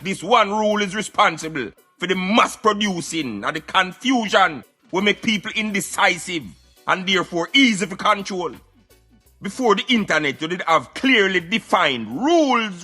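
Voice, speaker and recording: A man's voice: high voice, sharp tone. A man with a Jamaican accent playing a streetwise, preachy Rasta commentator ranting insistently on a satirical radio show. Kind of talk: satirical radio rant in patois.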